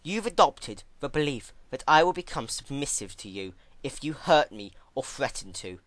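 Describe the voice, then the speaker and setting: A performer voicing a serene, haughty ancient pony goddess, female, who speaks in a measured, lofty, chiding way. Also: medium pitch, very animated, no room sound, lightly acted. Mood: calm condescension.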